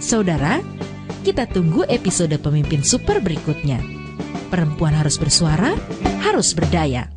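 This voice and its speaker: A woman's voice: low-pitched, sing-song, moderate pace, loud music behind the voice. A woman delivering scripted radio drama narration as a public-awareness appeal.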